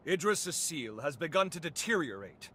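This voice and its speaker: High-pitched, pompous, theatrical scientist. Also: male voice, very animated, a little fast, sharp tone, a little hiss or hum. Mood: urgent. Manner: pompous, florid, condescending, theatrically polite. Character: eccentric, self-important revenant scientist, a theatrical lecturer. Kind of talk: video game cutscene acting.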